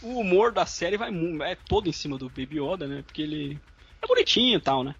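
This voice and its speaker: A man's voice: on the high side, lively intonation, fast, gravelly, fairly bright tone, breathy edge. A man with a Brazilian Portuguese accent, chatting casually on a podcast and retelling a story with lots of fillers. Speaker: podcast co-host. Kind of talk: casual chat recapping episodes. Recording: muffled recording with faint music.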